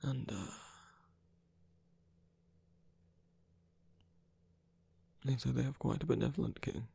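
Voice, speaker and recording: A man playing a weary, soft-spoken rebel villain and lover in an intimate ASMR roleplay, speaking haltingly in a breathy near-whisper. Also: medium pitch, heavily acted. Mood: earnest, musing. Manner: hesitant, halting, murmured with pauses.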